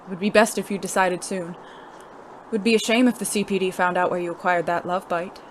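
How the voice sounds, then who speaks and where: Low-voiced, cool, sardonic spy, acted by a woman. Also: steady tone, a little hiss or hum, lightly acted, low-quality recording. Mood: sardonic, challenging. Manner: formal, measured, wry. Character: cool, sardonic spy living as a man, secretive, teasing. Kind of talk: noir audio drama acting.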